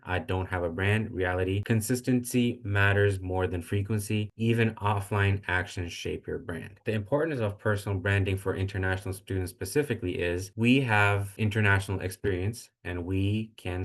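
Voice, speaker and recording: A man's voice: low voice, moderate pace, few pauses. A young man from Pakistan, a student intern, casually presenting a careers workshop, with fillers and restarts. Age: young adult.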